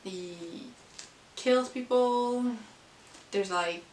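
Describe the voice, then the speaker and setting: A woman's voice: on the high side, a little mellow, slight background noise. Hesitant woman, non-native English, roomy distant mic. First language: not English. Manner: hesitant, halting delivery. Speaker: book vlogger. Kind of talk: casual chat to camera about books.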